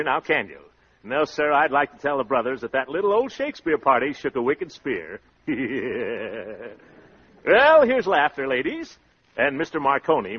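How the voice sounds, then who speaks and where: An actor playing a brash, self-satisfied suitor with breezy slangy patter and mock-flowery flourishes, in old-time radio comedy style. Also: male voice, medium pitch, slightly rough. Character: brash show-off young suitor, loud self-satisfied windbag. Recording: muffled old radio broadcast recording.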